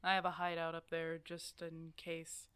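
A woman's voice: low voice, completely flat delivery, moderate pace, a bit bright, clear, resonant tone, no echo. A woman playing a stubborn, protective older werewolf hermit in an ASMR roleplay drama, blunt and teasing in a casual way. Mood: bossy, exasperated. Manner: teasing, blunt, casual one-sided dialogue.

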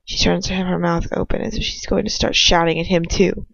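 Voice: mid-range voice, little pitch movement, a little fast, gravelly, a bit bright, no echo, lo-fi sound. An amateur reader telling a fan fiction story aloud in a casual manner, stumbling and breaking off for informal asides. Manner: casual, breaks off to comment and stumble over words.

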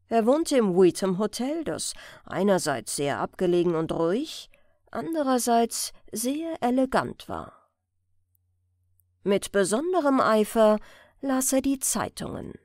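A woman's voice: mid-range voice, darkish tone, dry recording, studio quality. A woman narrating a crime story as an audiobook reader, in a scripted reading style.

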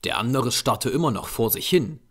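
A man's voice: mid-range voice, expressive, moderate pace, fairly bright tone. A man narrating a scripted story as an audiobook reader.

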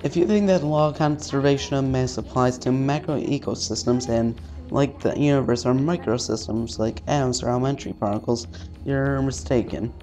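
Mellow man reading a game aloud. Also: mid-range voice. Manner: halting reading, casual asides with swearing. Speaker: let's-player. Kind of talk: reading visual novel text aloud with casual commentary. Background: faint game music.

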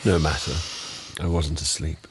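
An actor in a radio drama playing a haughty, urbane lord of an old Japanese court, talking in a dry, deliberate manner. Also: male voice, extremely low pitch, flat delivery, noisy background. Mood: dry, critical. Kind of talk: BBC radio drama acting.

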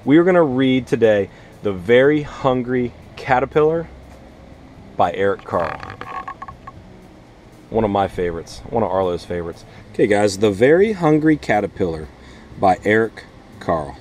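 A man in his thirties, a teacher, talking casually and a bit haltingly to camera as he explains things for families. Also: fairly low pitch, sing-song, darkish tone, slight background noise. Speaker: teacher and parent making a family vlog. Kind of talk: casual talk to camera and children's read-aloud. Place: small plastic-covered nursery greenhouse.

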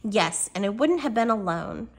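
A woman voicing a great, gentle, wise male lion in a storybook reading, calm and grave. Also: medium pitch, moderate pace, husky.

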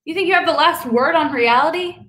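Defiant young woman played by an actress, high and bright, angry rapid rant. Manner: angry, rapid, profane ranting. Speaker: performer at a monologue contest. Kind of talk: live contemporary dramatic monologue.

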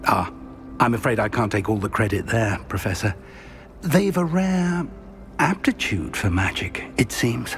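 An actor voicing a kindly professor and mentor in a game cutscene, speaking in a measured, confiding way. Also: male voice, lowish voice, lively intonation, a bit bright. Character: kindly, measured middle-aged male professor, guarded mentor. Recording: game cutscene with music behind.

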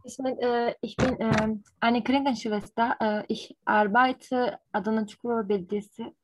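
A woman in her twenties with a Turkish accent, practicing a German speaking exam, pausing often with fillers. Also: on the high side, steady tone, no echo, low-quality recording. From Turkey. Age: 20s. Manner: hesitant, many fillers. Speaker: nurse and language learner. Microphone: video call.

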